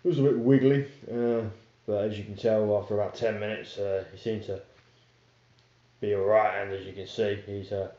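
A man's voice: lowish voice, noisy background. A young man chatting casually to his viewers in a rambling vlog, with many fillers, filmed on a phone at home. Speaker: YouTuber. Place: small room indoors, sitting on a sofa. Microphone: phone camera held close. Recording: roomy, dull lo-fi phone audio.

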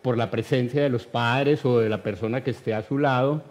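A man in his fifties with a Colombian accent, answering thoughtfully and haltingly as an interview guest, often adding tag questions. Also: medium pitch, fairly even intonation, darkish tone. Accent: Colombian, Medellín area. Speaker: guest, writer. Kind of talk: reflective conversational interview answers. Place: TV studio.

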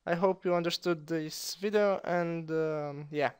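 A young man with a Romanian accent walks through a software fix at his computer, his voice very clear and a little high for a man. He speaks slowly and haltingly, often pausing on fillers and starting words over. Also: steady tone. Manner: halting, with frequent uh fillers and restarts. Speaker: tutorial host. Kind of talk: screen-recorded software tutorial.

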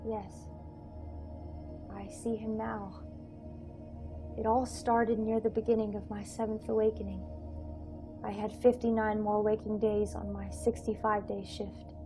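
A woman in her twenties acting a gentle mother, once a starship engineer, in a radio play over a video call. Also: medium pitch, flat delivery, unhurried, pauses often, over music, lightly acted. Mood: soft storytelling.

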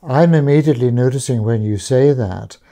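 An older male interviewer with a very dark, mellow tone. He speaks calmly and supportively, often murmuring agreement. He sits in a small book-lined study with a lapel mic. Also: medium pitch, moderate pace. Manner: supportive, frequent quiet agreement. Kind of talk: interview conversation. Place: small study with bookshelves, indoors.

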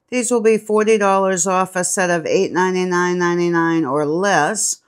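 A woman hosting her channel, steadily reading product names and sale prices off a printed flyer. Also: mid-range voice. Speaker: channel host.

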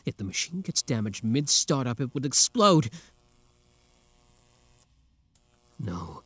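A man's voice: mid-range voice, very animated, moderate pace, a bit bright, husky, no room sound. A young man voicing an obsessive, lovesick young male villain in a theatrical, brooding audio roleplay. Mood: panicked. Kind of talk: roleplay audio drama monologue.

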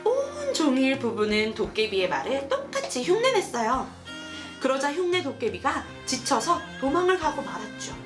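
A woman hosting a children's show, reading a storybook and asking questions in an animated, sing-song, teacherly way. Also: high voice, normal speed, over music. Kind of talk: storybook narration and chat with a child.

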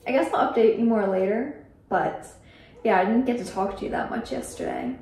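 A woman's voice: fairly high pitch, fairly quick, noisy background. A woman in her twenties chatting casually and informally to the camera as a travel vlogger. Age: 20s. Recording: music under the voice. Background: music.

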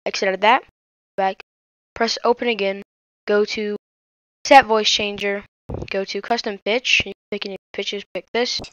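Female tutorial host, smooth clear voice, casual delivery, slightly dull mic. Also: mid-range voice, fairly even intonation, normal speed, long pauses, fairly bright tone, dry recording. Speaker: host of a tech tutorial channel. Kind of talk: casual screen-recorded tutorial.

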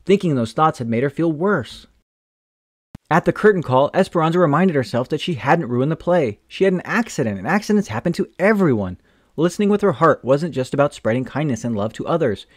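Expressive man reading a picture book. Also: a little high, a little fast, no room sound, clean studio sound. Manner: gentle, expressive storytelling. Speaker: storytime reader. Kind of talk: children's book read-aloud.

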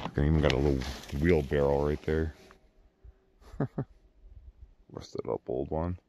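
A man's voice: very deep voice, steady tone, measured pace, dark tone. A man in his thirties rambling casually to camera as an exploration vlogger, with informal slang. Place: outdoors in snow and inside a car. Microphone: handheld camera at arm's length. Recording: dull lo-fi camera audio, clear background noise.

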